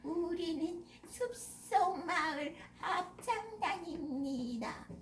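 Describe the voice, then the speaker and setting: A woman's voice: very high voice, lively intonation, very slow, roomy sound, slight background noise, pitched above the performer's own voice, full character voice. A woman voicing shy, curious little forest animal children in a storybook, with a childlike, wondering delivery. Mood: chanting.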